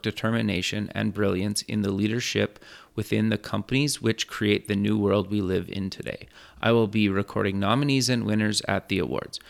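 A man's voice: on the low side, gravelly, a bit bright. A man hosting a podcast and radio show, presenting and interviewing. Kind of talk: scripted show intro, then casual interview.

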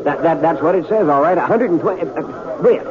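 An actor playing an excitable western town doctor in a 1950s radio drama, repeating words eagerly. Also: male voice, fairly high pitch, fast, heavy background noise, lightly acted. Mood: excited, amazed. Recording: dull old radio, loud crowd behind.

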